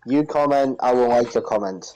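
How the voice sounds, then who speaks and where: A man's voice: mid-range voice, fairly quick, darkish tone, muffled recording. A man co-hosting a podcast, talking casually about pro wrestling. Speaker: podcast co-host.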